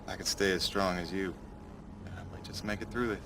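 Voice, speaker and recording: Easygoing low-voiced working man played by an actor. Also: fairly even intonation, brisk, noisy background, close to an everyday voice. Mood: tender, wistful. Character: gruff, easygoing working-class rig pilot, homesick husband.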